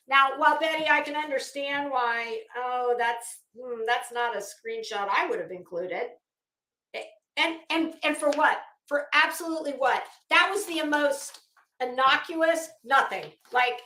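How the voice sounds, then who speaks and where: A high-pitched woman hosts a casual livestream, reading aloud and commenting in a sarcastic, theatrical way. She takes her time and swears freely. The room rings with echo around her voice. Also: bright, forceful tone. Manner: sarcastic, mocking, profane. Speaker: livestream host. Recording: echoey untreated room.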